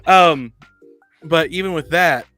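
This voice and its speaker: A man speaking haltingly as he discusses tabletop games on a podcast, often repeating and rephrasing himself. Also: a little high, bright, forceful tone, faint music behind. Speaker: podcast panelist.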